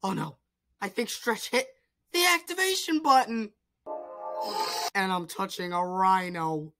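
A woman voicing an excitable, goofy male adventurer turned ostrich in a theatrical amateur cartoon fan dub. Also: medium pitch, measured pace, soft background music. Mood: panicked. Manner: exclamatory, theatrical.

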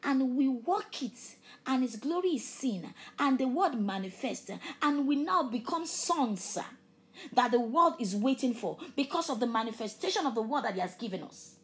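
A woman's voice: high-pitched, lively intonation, moderate pace. Fervent woman preaching. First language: Yoruba. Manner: fervent, rising to loud emphatic peaks, then dropping to hushed near-whispers. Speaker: preacher and host. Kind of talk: preaching a sermon with an altar call.

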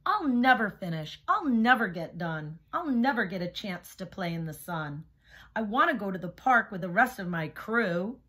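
A woman's voice: medium pitch, sing-song, moderate pace, sharp tone, husky, exaggerated voice. A woman voicing an impatient young girl in a picture book, complaining dramatically about having to work. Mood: whiny, fed up. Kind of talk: picture-book character reading.

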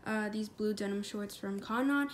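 A woman's voice: mid-range voice, normal speed, slight background noise. A young woman chatting casually to her phone camera in a day-in-the-life vlog, upbeat and full of "like" fillers. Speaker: vlogger. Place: bedroom at home, later outdoors on a windy beach. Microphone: phone camera at arm's length.

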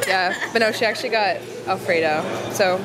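Chatty, fast-talking teen girl vlogger. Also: medium pitch, very noisy. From New Hampshire. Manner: chatty and upbeat, full of "like" fillers. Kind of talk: casual travel vlog. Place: outdoors at a beach and shopping boardwalk, also a hotel room. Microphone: handheld camera at arm's length.

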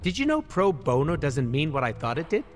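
A man's voice: a little high, a little fast, faint music behind. A man playing an irreverent, wisecracking mercenary antihero in a video game, sarcastic and flippant. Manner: sarcastic, flippant, cartoonishly animated. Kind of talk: comic video game character acting.

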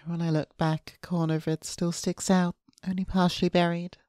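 A woman streamer reading visual novel narration aloud in a subdued, measured way. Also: on the low side, flat delivery, dark tone, no room sound, clean studio sound. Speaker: VTuber streamer. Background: faint game music.

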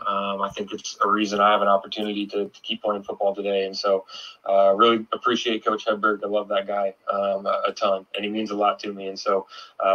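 Male athlete, twenties, deep even delivery, press conference answers, muffled and off-mic. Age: 20s. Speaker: football player. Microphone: podium microphone. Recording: dull, distant press conference audio.

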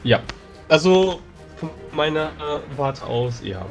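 A man with a somewhat high voice explains a battle maneuver, padding his sentences with fillers. He is a player in a tabletop game, and faint music plays behind him. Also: lively intonation, takes it slowly, creaky. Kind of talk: strategy discussion in a tabletop game.

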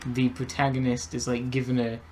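A man's voice: mid-range voice, completely flat delivery, brisk, a little mellow. A young man in a casual review chat, searching for his words as he talks. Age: young adult. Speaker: podcast reviewer and co-host. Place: bedroom, indoors. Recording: noisy home room recording.

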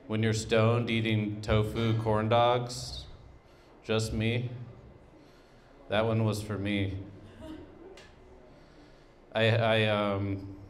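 A man in his thirties doing absurdist stand-up into a handheld mic, rambling in an awkward, deadpan, halting way. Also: on the low side, slight background noise. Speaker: stand-up comedian. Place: small comedy club stage, indoors. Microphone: handheld microphone.

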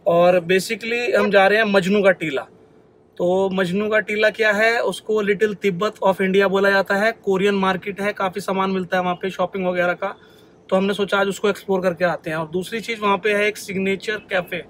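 A man's voice: high-pitched, level tone, moderate pace, a bit bright, a little hiss or hum. A man in his thirties, a vlogger chatting casually to his viewers on a phone camera while exploring a market and a cafe. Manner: chatty, addresses viewers as guys. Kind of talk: casual travel vlog. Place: car, then a busy street market and a cafe.

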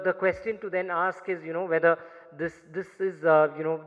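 A man in his thirties with an Indian English accent, giving a conference talk at a podium microphone. He explains at a measured pace, with many fillers and restarts. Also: high voice. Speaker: panel speaker. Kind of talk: conference presentation. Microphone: podium gooseneck mic. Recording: muffled reverberant hall recording.

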